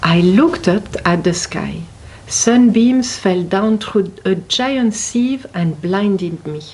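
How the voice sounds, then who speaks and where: Slow-reading woman telling a children's story. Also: mid-range voice, expressive, darkish tone, a little hiss or hum. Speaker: picture book reader at a library.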